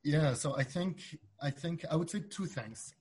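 Guy from belgium, husky voice, podcast guest explaining stuff casually, kinda halting. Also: on the high side, a little mellow. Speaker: interview guest, startup founder. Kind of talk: casual interview chat.

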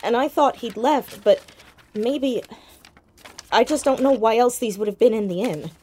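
An actress in a naturalistic audio drama playing a clever, persistent young amateur sleuth who is earnest, hesitant and probing. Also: female voice, on the high side, measured pace, darkish tone, slight background noise, close to an everyday voice. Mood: cautious, earnest.

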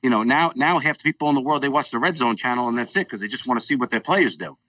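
A man's voice: mid-range voice, expressive, fast, a bit bright, no room sound, recorded from a distance, highs cut off. A man with a Long Island accent calling in, opinionated and frustrated, stammering as he talks. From Long Island, New York. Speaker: phone-in guest.

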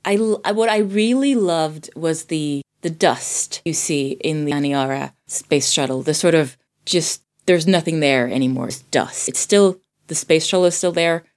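A woman from Sweden with a low-pitched voice talks casually about film. She is a native Swedish speaker and thinks aloud, often stopping to rephrase as she goes. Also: moderate pace, hardly any pauses. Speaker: podcast guest reviewing a film.

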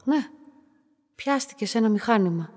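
An actress playing a young, lonely woman who narrates her own story in a radio drama, soft, restrained and matter-of-fact. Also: on the high side, lively intonation. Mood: subdued, hesitant. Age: young adult. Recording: music behind the voice.

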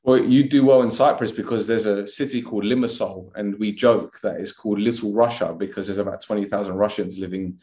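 A man's voice: lowish voice, steady tone, brisk, hardly any pauses, dark tone, roomy sound. A man hosting a casual football podcast, chatting and asking his guest questions in a friendly way. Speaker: podcast host.

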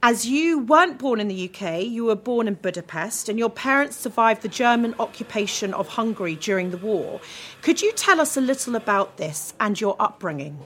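A woman hosting a podcast interview, giving clear, prepared introductions and questions. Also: a little high, animated, moderate pace, a bit bright. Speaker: podcast host.

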